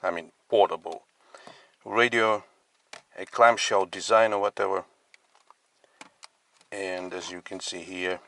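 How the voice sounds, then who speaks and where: A man giving a casual product demonstration as a collector, speaking in halting, piecemeal phrases. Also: low-pitched, fairly even intonation, creaky, bright, forceful tone. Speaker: radio collector presenting his collection. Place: inside a parked car.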